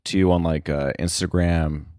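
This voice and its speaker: A man with a deep voice gives casual interview answers in a dry recording. He talks haltingly, hedging and working out his point as he goes. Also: steady tone, mellow, no room sound. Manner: halting, hedging, thinking aloud. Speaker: podcast guest, sound editor.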